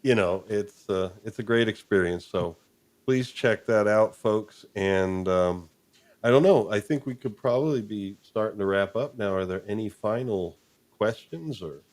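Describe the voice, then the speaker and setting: Low-voiced man hosting a casual stream. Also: a little slow, a little mellow. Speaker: live stream host. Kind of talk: casual chat, wrapping up a live stream.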